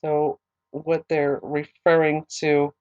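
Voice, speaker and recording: A woman teaching a Bible study, reading scripture aloud and then explaining it with many ums and restarts, her voice a little hoarse. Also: deep voice, little pitch movement, slow pace, darkish tone, no room sound, highs cut off. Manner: reads verses steadily, then talks loosely with many ums and restarts. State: losing her voice, a bit hoarse. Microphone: online recorder, computer setup.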